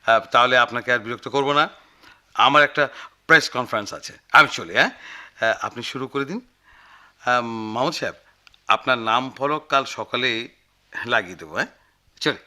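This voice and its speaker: An actor playing a fussy, effusive newspaper office manager in a radio drama, with repetitive, hesitant speech. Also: male voice, mid-range voice, a little fast, long pauses, bright, forceful tone. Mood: brisk, officious. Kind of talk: Bengali radio drama acting.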